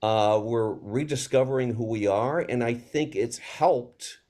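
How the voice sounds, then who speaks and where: A man in a reflective panel discussion over a video call, halting and ruminative, posing rhetorical questions as he thinks aloud. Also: mid-range voice, a little mellow. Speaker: theater panelist.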